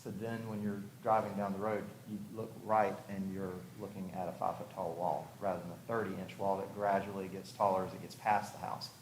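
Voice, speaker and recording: A man's voice: deep voice, flat delivery, fairly quick, few pauses. A man giving an informal explanatory presentation at a public hearing, conversational and thinking aloud as he goes. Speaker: house designer presenting to a review commission. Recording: echoey room, clearly audible background noise.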